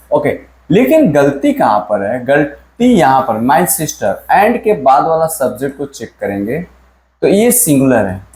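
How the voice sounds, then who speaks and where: A man's voice: a little high, darkish tone. A male teacher explaining grammar in an exam-prep lesson. He quizzes the viewers again and again and repeats key words. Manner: stretches out English sentences he reads aloud. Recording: echoey room.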